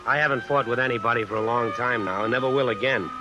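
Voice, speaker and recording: A male actor playing a reflective, subdued man haunted by his past, in 1950s radio drama style, speaking haltingly and ruefully. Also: medium pitch, lightly acted. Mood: weary, reflective. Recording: muffled old radio recording with music.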